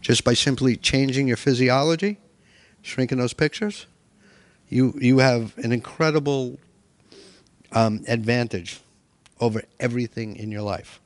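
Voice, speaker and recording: A man's voice: mid-range voice, measured pace, long pauses, no room sound. A middle-aged man presenting a workshop in a hotel meeting room, coaching people step by step.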